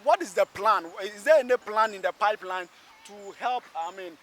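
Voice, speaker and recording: High-voiced Ghanaian man reporting on location. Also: lively intonation, moderate pace, fairly bright tone. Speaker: radio reporter and interviewer. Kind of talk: on-location radio news interview. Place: outdoors by a busy road underpass.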